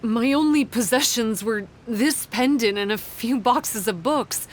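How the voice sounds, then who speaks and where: A woman voicing a young half-serpent outcast in a video game, solemn, wistful and guarded, with halting pauses. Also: fairly high pitch, slight background noise, lightly acted. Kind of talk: dramatic video game acting.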